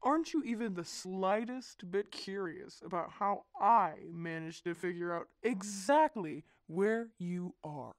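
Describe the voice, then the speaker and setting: A man's voice: high voice, a little slow, few pauses, no room sound, clean studio sound. A man playing a boastful, theatrical supervillain inventor in a superhero audio roleplay, pompous and taunting. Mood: gloating.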